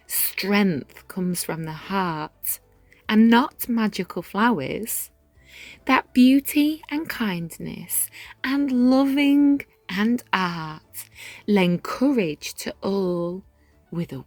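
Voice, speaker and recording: A woman reading a rhyming children's story aloud in an expressive, measured way, with dramatic pauses and hushed moments. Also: mid-range voice, no echo. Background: background music.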